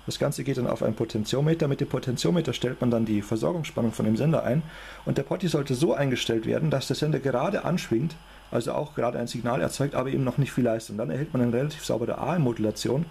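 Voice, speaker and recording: A man's voice: medium pitch, quick pace, creaky, warm tone, slight background noise. A man with a German accent giving an informal, matter-of-fact technical demonstration as a hobby electronics presenter.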